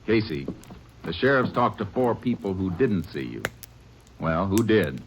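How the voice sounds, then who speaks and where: A calm, firm Texas Ranger lawman with a very low voice, questioning in steady, measured tones. Light hiss sits under this old radio recording. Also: lo-fi sound, heavily acted. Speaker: narrator and lead character. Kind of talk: 1940s radio drama acting.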